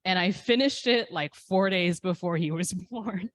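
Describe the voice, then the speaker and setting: A woman in her thirties answers interview questions in a relaxed, candid way. She is self-deprecating and pauses often with ums. Her voice sits low, and the recording is close and dry with no room echo. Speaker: poet guest.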